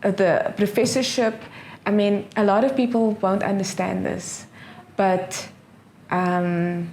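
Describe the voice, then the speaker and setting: This is a middle-aged woman with a South African accent, talking in a relaxed, earnest way as an interview guest. She laughs often and doubles back on her words. Her voice sits in a middle range, with a light noise floor under it. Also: medium pitch, slow pace. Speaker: professor guest. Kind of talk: casual interview answers.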